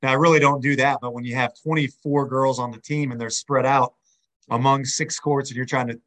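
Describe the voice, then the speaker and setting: A man co-hosting a podcast, friendly and chatty, his voice a little hoarse. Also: mid-range voice, fairly even intonation, brisk, a bit bright. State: slightly hoarse. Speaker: podcast co-host. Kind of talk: casual podcast chat.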